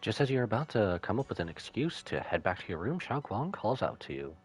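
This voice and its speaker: Casting: male game streamer, fairly low voice, lively swings, playful commentary, repeats himself for emphasis, light music behind. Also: brisk. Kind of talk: live stream commentary with dialogue read-aloud.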